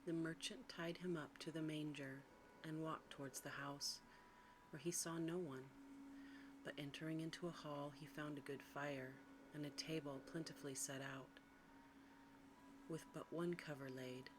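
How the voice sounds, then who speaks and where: A woman reads a bedtime story in ASMR style, in a soft, breathy, hushed and soothing whisper. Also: sits low, steady tone, normal speed. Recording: clearly audible background noise.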